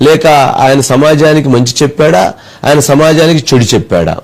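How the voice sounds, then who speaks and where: A man giving political commentary in a deliberate, emphatic way, putting rhetorical questions. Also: fairly high pitch, darkish tone.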